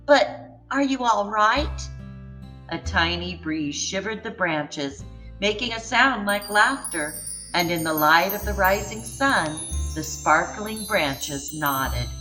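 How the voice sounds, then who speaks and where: Slow, bright-voiced woman reading a storybook. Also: fairly low pitch, faint music behind, poor audio. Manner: slow, gentle, expressive. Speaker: children's book reader. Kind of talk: storybook read-aloud.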